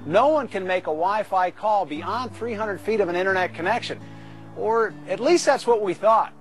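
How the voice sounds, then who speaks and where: An American man in his thirties presents an infomercial in a high voice. He is emphatic and persuasive, working through a scripted pitch with music playing behind him. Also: expressive, normal speed. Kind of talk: scripted sales pitch to camera.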